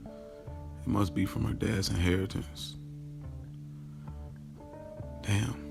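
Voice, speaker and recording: A man's voice: on the low side, vocal fry, full character voice. A man playing a smitten, boastful yet self-questioning guy in an audio drama, telling his story casually and in slang, straight to the listener. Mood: bemused. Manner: casual, slangy, confiding, addresses listeners directly. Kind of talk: first-person audio drama monologue, conversational storytelling.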